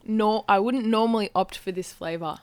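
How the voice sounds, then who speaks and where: A woman's voice: mid-range voice, moderate pace, no room sound. Woman chatting, close dry sound. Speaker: podcast co-host. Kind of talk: casual podcast chat, live taste test.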